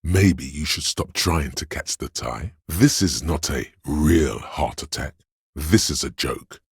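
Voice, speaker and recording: A grim inner voice of pain from a video game, extremely low and very husky. It narrates slowly and deliberately in the second person, brooding and sardonic as it goads the listener on. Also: male voice, no echo, clean studio sound, heavily acted. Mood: grave, wary. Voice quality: deep, gravelly, husky.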